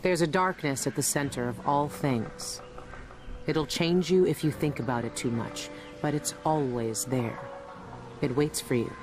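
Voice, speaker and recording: Terse brooding adventurer, music behind. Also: female voice, low voice, a little slow. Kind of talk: video game character monologue.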